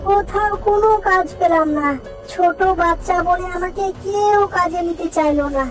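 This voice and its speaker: A woman's voice: very high voice, soft background music. A woman voicing a poor young village boy who talks earnestly and anxiously. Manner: earnest, anxious, quick questions.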